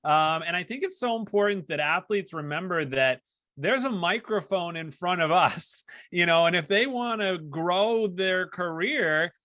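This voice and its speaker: A man's voice: high voice, expressive, unhurried, pushed and bright, no echo. A man hosting a conversational interview podcast, explaining and giving advice. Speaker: podcast host, former broadcaster and athlete branding consultant.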